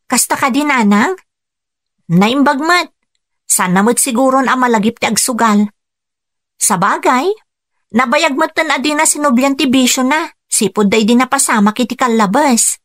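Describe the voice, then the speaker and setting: A woman's voice: high voice, normal speed, long pauses, a bit bright, no echo, studio quality. An actress with an Ilocano accent playing a worried, earnest woman in a family radio drama. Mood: earnest, anxious.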